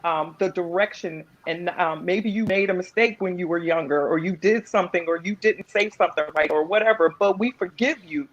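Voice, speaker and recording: A woman's voice: on the low side, fairly quick, hardly any pauses. A woman speaking earnestly and encouragingly on a panel, often restarting her sentences. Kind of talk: casual panel discussion. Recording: dull lo-fi audio.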